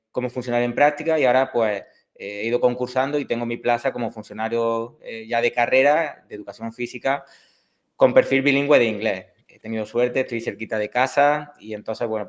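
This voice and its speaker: Male teacher, 30s, Andalusian accent, hesitant, casual lecturing tone. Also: mid-range voice. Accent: Andalusian Spanish. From Córdoba, Spain. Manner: hesitant, many fillers and restarts. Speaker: exam prep teacher. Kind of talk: online course introduction.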